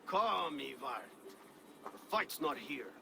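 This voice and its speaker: Gravelly, commanding Viking leader. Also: male voice, a little high, very animated, noisy background, full character voice. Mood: stern. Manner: firm, measured, authoritative. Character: commanding, steady Viking leader, elder-brotherly.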